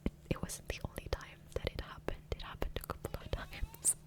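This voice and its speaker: Softly whispering woman, ASMR style. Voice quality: soft unvoiced whisper. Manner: gentle, unhurried, rambling. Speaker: ASMR gaming creator. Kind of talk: ASMR whispered casual rambling. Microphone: close USB condenser microphone.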